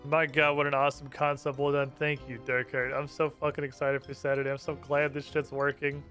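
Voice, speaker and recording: A man's voice: medium pitch, monotone, brisk, fairly bright tone, faint music behind. A man streaming a game, chatting casually, muttering to himself and swearing freely.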